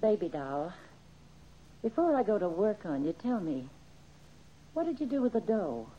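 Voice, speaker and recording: A woman's voice: medium pitch, sing-song, normal speed, slightly rough. An actress playing a ruthless, sneering female gang boss with tough, slangy American talk, in old-time radio crime drama style. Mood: menacing. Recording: muffled old radio recording.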